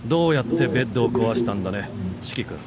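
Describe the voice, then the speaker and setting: A man's voice: medium pitch, animated, rapid-fire, very noisy, natural voice. An actor playing an adult man who questions a child in a visual novel, controlled and inquiring. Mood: probing. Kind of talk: Japanese visual novel voice acting.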